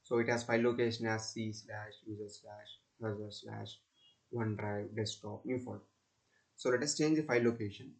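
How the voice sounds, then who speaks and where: A man narrates a step-by-step software tutorial as a student presenter, in halting, repetitive instructional phrases. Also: fairly low pitch, fairly even intonation, creaky.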